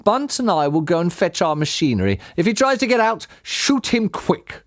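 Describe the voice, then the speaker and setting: A man's voice: sits high, very animated, moderate pace, no echo, clean studio sound, voice pushed up. A man voicing a tall, skinny, bossy farmer villain in a children's storybook. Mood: commanding.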